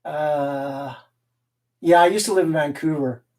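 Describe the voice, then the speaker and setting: A man's voice: fairly high pitch, unhurried, darkish tone, far from the mic. A man raised in Canada hosting a casual live stream, rambling, laughing often and reading the chat aloud. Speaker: livestream host and guitar collector.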